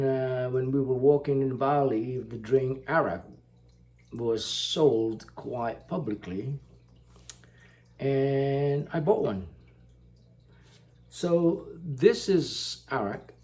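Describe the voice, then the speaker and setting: A man from Indonesia, a native Indonesian speaker, talking slowly to the camera in a casual vlog, pausing often with fillers. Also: mid-range voice, a little mellow. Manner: slow, halting, many fillers. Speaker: vlogger.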